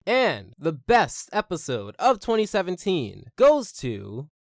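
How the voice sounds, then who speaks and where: A man's voice: sits high, wildly swooping pitch, slow pace, creaky, dry recording, clean studio sound. A man hosting a video series, reading scripted review narration about entertainment.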